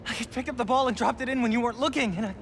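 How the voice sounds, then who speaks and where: Nervous young sidekick, rapid rambling speech, video game, clear music underneath. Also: male voice, very high-pitched. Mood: frantic confessing. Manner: breathless rambling confession. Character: nervous, rambling young male best friend. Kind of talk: video game cutscene acting. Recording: music behind the dialogue.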